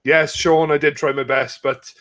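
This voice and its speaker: A man's voice: fairly high pitch, level tone, moderate pace, a bit bright. A man hosting a live sports watch-along, chatting casually and matily with a guest and putting questions to him. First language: not French. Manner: chatty, matey address, asks questions.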